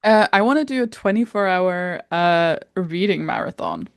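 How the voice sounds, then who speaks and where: A woman co-hosts a casual podcast, teasing her friend bluntly and swearing freely. Her voice sits low and the sound is close and dry. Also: animated, normal speed, smooth, no echo. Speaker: podcast co-host. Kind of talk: casual chat.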